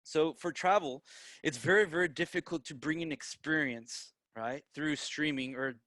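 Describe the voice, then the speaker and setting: A man's voice: a little high, moderate pace, sharp tone, no room sound. A man from Peru raised in the United States, with an American accent, gives an informal online presentation and often checks in with "right?". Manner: frequently tags statements with "right?". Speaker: guest presenter, digital marketer.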